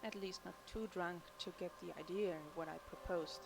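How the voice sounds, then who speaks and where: A cynical young fraud investigator. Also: female voice, on the low side, steady tone, moderate pace, vocal fry. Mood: cool. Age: young adult. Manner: cool, cynical, matter-of-fact. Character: cool, ambitious female insurance fraud chief. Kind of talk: scripted game intro narration.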